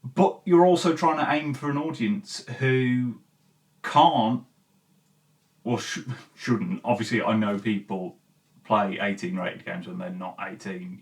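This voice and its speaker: A male-sounding voice with a mid-range pitch, recorded at some distance from the microphone. The host talks informally and gives opinions in a halting, essay-like commentary, leaning hard on key words. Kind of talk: video essay commentary, casual chat to viewers.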